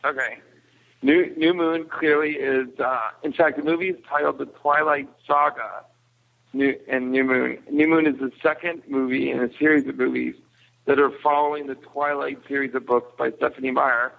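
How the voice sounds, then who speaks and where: A man giving a casual film recap as a podcast guest, explaining in a halting way. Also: medium pitch, steady tone, vocal fry. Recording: tinny phone-like line.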